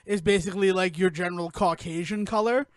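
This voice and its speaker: American guy, highish voice, casual opinionated podcast talk, very dry sound no echo. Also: fairly even intonation, a little fast, clean tone. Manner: opinionated, informal, swears freely. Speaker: podcast host.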